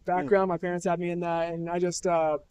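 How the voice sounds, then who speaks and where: A man's voice: high-pitched, level tone, brisk, darkish tone, clean tone, dry recording. A young man from New Hampshire, a musician being interviewed at a festival, talking in a thoughtful, rambling way with many restarts. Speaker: musician guest. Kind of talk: casual interview.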